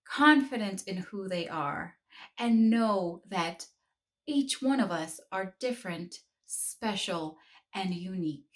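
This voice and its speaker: A woman, a children's book author, reading her story aloud to children in a slow, expressive, encouraging way. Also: mid-range voice.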